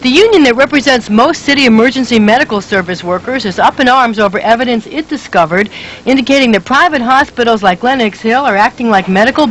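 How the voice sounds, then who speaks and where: A woman's voice: on the high side, slight background noise, poor audio. A woman reporter reading a scripted investigative news story with emphatic, dramatic delivery.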